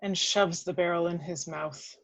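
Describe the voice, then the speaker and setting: A woman's voice: on the low side, fairly even intonation, unhurried, rough recording. A woman reading scripted action descriptions as the narrator of a table read. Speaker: stage-direction reader.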